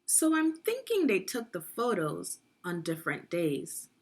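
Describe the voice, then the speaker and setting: A woman reading aloud as a teacher in a guided lesson for young children, instructive and deliberate, often posing questions. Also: fairly low pitch, wildly swooping pitch, slightly rough.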